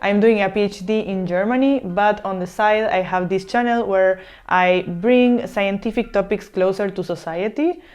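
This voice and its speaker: A young woman from Uruguay whose first language is Spanish hosts an online interview. She introduces and questions her guest in a medium-pitched voice, with soft music in the background. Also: moderate pace, hardly any pauses. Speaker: channel host and interviewer.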